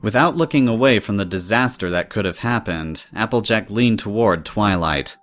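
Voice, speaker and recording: A man's voice: lowish voice, lively intonation, normal speed, fairly bright tone. A man reading scripted story narration in the third person.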